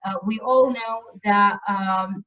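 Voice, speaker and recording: A woman's voice: medium pitch, fairly even intonation, slow. Distant, muffled woman lecturing. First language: Arabic. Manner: hesitant, many fillers and restarts. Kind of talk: university lecture on translation. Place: lecture room.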